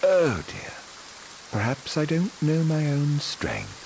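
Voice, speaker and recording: A man's voice: a little high, wildly swooping pitch, slow, vocal fry, a little hiss or hum, exaggerated voice, low-quality recording. A man playing a sardonic, vengeful immortal exile in a video game, with a mocking, theatrical, mock-polite drawl. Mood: sly, quiet. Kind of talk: video game villain acting. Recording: game audio under loud music, battle crashes. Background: loud orchestral music and battle crashes.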